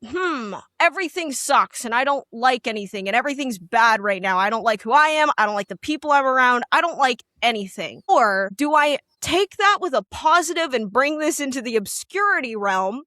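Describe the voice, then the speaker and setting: A young woman from Canada with a high, loud, piercing voice talks candidly, rambling through plenty of fillers. Her voice sits close and dry, with no room around it. She is a musician who is a guest in a relaxed interview. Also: normal speed, few pauses, no echo. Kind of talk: casual interview chat.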